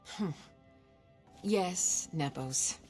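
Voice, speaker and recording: A woman's voice: on the low side, drawn-out words, a little mellow, soft background music. A woman voicing a stern, guarded housekeeper in a fantasy game, curt with visitors. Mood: wary, curt. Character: wary, stern female housekeeper turning visitors away.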